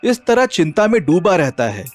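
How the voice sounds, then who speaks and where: Man, story narrator, high pitch, lively scripted delivery, music behind. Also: normal speed.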